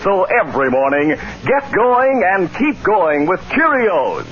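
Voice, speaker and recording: A man's voice: high voice, sing-song, slow, fairly bright tone. A male announcer delivering theatrical, declamatory narration in the dramatic style of 1940s and 50s radio. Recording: dull vintage radio recording. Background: music.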